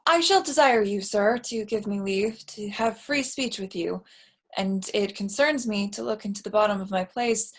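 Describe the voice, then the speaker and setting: A woman's voice: mid-range voice, moderate pace, close to an everyday voice. A woman playing a courteous, dutiful senior counsellor lord in a staged reading of Shakespeare verse.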